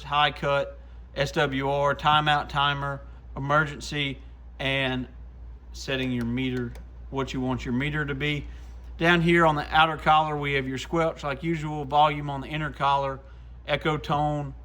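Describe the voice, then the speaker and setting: Casual man with a slightly high voice. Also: sharp tone. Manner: informal, addresses viewers as guys. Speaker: shop owner presenting radio product demos. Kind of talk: casual hands-on product review.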